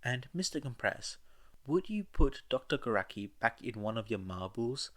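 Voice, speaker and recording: A man's voice: medium pitch, very animated, moderate pace, a bit bright. A man voicing a stern, motherly middle-aged woman who is politely menacing. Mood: sweetly menacing.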